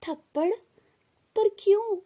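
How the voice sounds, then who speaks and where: A woman voicing a gentle, protective widowed mother in a family story, plaintive and pleading. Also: very high voice, slow pace, pitched above the performer's own voice. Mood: worried, tender.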